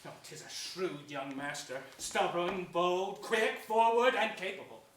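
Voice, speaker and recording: A man's voice: high voice, expressive, fairly bright tone. An actor playing a scheming, sardonic nobleman in a declamatory Shakespearean stage style, sly and falsely courteous. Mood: commanding, scheming. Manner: declamatory, sly, with drawn-out deliberate phrasing. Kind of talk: theatrical Shakespearean stage acting. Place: theater stage before an audience. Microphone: distant stage or camera mic. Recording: roomy stage pickup, distant, faint noise.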